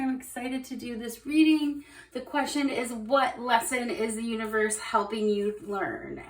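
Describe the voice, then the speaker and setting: A woman's voice: on the high side, far from the mic. Upbeat woman tarot reader in roomy echo. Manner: chatty, upbeat, rambling with fillers. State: slightly hoarse from overuse. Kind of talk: casual tarot reading to camera.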